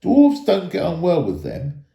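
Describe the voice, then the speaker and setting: A man giving an animated, expressive reading of a children's fantasy story. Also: on the high side, measured pace, vocal fry. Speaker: storybook reader, school staff member. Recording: echoey room.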